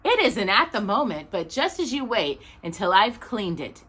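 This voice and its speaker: Woman voicing a proud, animated older shopkeeper. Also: high-pitched, normal speed, husky, pitched above the performer's own voice, exaggerated voice. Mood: proud, delighted. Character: proud, kindly, secretive older shopkeeper and picture dealer.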